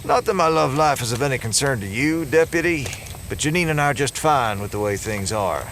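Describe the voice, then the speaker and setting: An actor playing a gruff, steady small-town American sheriff with a plain-spoken, folksy manner in an audio drama. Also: male voice, mid-range voice, brisk, a little hiss or hum. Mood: wry, grumbling. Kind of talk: full-cast audio drama acting. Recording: music bed under the dialogue.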